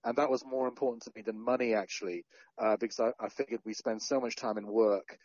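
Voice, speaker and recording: A man in his forties, raised in Sri Lanka and North Wales, talking about his career as a guest in a podcast interview. He speaks in a relaxed, self-deprecating way with joking asides. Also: fairly low pitch, quick pace, no echo, lo-fi sound. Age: 40s. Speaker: podcast guest, journalist and founder. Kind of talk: casual interview conversation.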